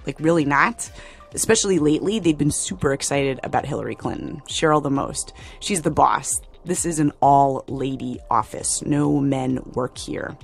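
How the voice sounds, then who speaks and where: Gravelly-voiced woman radio reporter. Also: low voice, moderate pace, faint music behind. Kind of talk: scripted radio narration.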